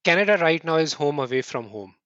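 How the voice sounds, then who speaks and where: Indian-born guy on a podcast, kinda high voice, dry dull sound, keeps restarting, says right a lot. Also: expressive, a bit bright, clean tone, no room sound. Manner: earnest, quick bursts with repeated restarts and "right?" tags. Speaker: podcast guest. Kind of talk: conversational interview answers.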